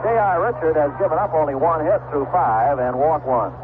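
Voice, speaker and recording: A man's voice: on the high side, expressive, a little fast. A man calling baseball play-by-play live on a noisy, tinny 1970s radio broadcast. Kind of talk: live radio sports commentary. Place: ballpark broadcast booth.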